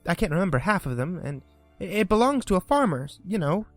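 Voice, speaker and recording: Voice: mid-range voice, expressive, moderate pace, dry recording, faint music behind, voice pushed up, natural voice. A reader doing a flustered chatty young girl. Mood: eager.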